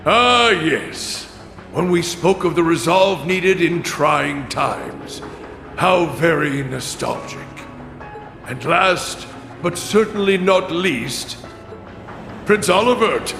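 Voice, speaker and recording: A calculating imperial chancellor from a video game. His voice is gravelly and rather high for a man, and he delivers formal, commanding courtesies at a deliberate, slow pace. Every phrase is measured and imperious. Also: animated, heavily acted. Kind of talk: dramatic video game cutscene acting. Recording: echoey hall reverb, music behind. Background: music.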